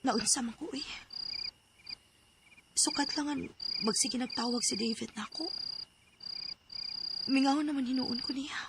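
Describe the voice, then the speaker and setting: A woman's voice: high-pitched, a little slow, long pauses, background noise. An actress with a Cebuano accent playing an emotional young woman in a radio drama. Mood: soft, lovelorn. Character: emotional young woman hurt by her boyfriend.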